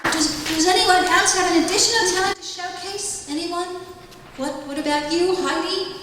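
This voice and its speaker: Lady playing polite hostess, very high voice, radio comedy, huge hall echo. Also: moderate pace, crowd noise, background noise. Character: genteel lady hostess of a talent show. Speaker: talent show host. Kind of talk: live old-time radio comedy acting. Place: live stage show in a library. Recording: very echoey hall from far off.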